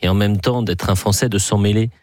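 A man's voice: lowish voice, fairly even intonation, brisk, a little mellow, no echo, clean studio sound. A man hosting a radio talk show, speaking earnestly in long, winding sentences. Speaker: radio host. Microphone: studio microphone.

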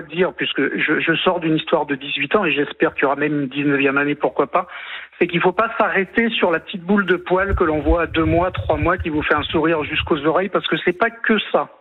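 Caller, man in his 50s from martinique, earnest and tender, slightly high voice, phone-line sound. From Martinique and French Guiana. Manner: earnest, tender, rambling with restarts. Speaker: radio caller. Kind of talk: phone-in chat.

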